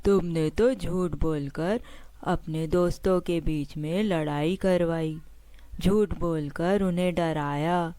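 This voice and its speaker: A woman voicing a stern but forgiving old grandfather in a children's cartoon story, admonishing in a measured way. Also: lowish voice, mellow, slight background noise. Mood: stern, advising.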